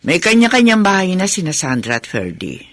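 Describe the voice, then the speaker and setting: A woman's voice: lowish voice, huge pitch swings, fairly quick, no echo, studio quality. An actress playing an exasperated but caring older mother and grandmother in a Filipino radio drama. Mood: concerned.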